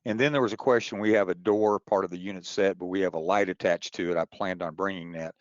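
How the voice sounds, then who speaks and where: A man with a deep voice moderates an informal webinar, putting questions and explaining procedures. His audio is close and dry, with a slightly dull, lo-fi quality. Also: steady tone, fast, no room sound.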